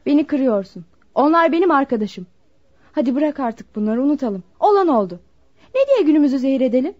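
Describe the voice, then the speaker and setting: A carefree, impatient young girlfriend in a radio play. The pitch is high and very animated, full of coaxing and pouting. The sound is dull and lo-fi. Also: brisk. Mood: petulant. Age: young adult. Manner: coaxing, petulant, dismissive. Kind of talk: Turkish radio theatre acting. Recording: dull lo-fi radio drama recording.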